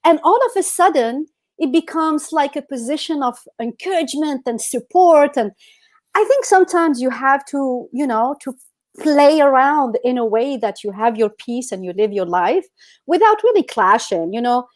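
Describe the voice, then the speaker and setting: A woman's voice: high voice, lively intonation, moderate pace, a little mellow. A woman co-hosting a podcast, telling personal stories in a casual, emphatic, conversational way full of "you know". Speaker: podcast co-host.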